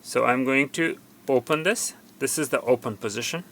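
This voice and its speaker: A man's voice: mid-range voice, moderate pace, a bit bright, a little hiss or hum. A man giving an unscripted hands-on tutorial as a repair technician, instructive and cautioning, often repeating himself for emphasis.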